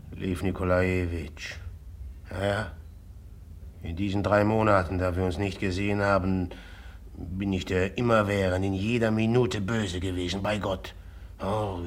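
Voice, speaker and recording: An actor playing a volatile, jealous man tormented by love in a radio drama, speaking bitterly in brooding, broken phrases. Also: deep voice. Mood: brooding, tender. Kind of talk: classic German radio drama acting.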